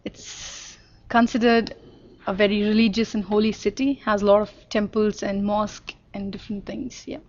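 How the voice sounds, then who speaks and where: Indian woman interviewee, talkative and explanatory, monotone delivery on a muffled recording. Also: mid-range voice, normal speed. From northern India. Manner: chatty, explains at length with fillers. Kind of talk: casual conversational answers.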